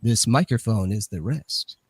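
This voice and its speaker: A man's voice: on the low side, lively intonation, unhurried, husky, dry recording. Processed studio voice of a rambling man. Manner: rambling, apologetic, interrupts himself. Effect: voice run through an old effects unit. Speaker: guest. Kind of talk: casual podcast chat. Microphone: radio station studio microphone.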